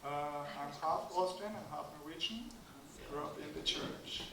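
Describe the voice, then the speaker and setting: A man's voice: fairly high pitch, moderate pace, vocal fry, noisy background. Man from Norway in an echoey hall. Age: 30s. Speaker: workshop speaker. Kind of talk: self-introduction to an audience. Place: event hall. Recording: echoey hall with loud music.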